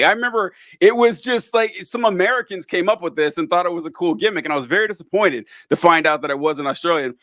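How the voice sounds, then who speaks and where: A man's voice: high-pitched, a bit bright, dry recording, clean studio sound. A man hosting a podcast in casual chat, rambling with lots of fillers and casual swearing. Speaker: podcast host.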